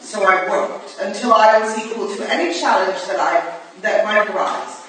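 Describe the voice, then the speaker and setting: A woman's voice: mid-range voice, moderate pace, fairly bright tone, a little hiss or hum, lightly acted. A woman playing a stern, proud, exacting Victorian lady mentor in a staged play reading, clipped and formal, recorded in an echoey hall from a distance. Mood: stern, assured.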